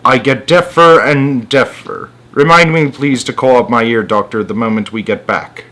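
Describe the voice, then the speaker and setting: A man voicing an eccentric, excitable factory owner in a storybook, breezy, theatrical and grandly polite. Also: medium pitch, normal speed, natural voice. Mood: breezy, courteous.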